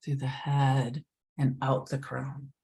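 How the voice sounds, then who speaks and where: A woman leading a guided meditation, speaking slowly and soothingly, sometimes dropping to a whisper. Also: very deep voice, completely flat delivery, a little mellow. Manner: slow, soothing, drifts into whispers.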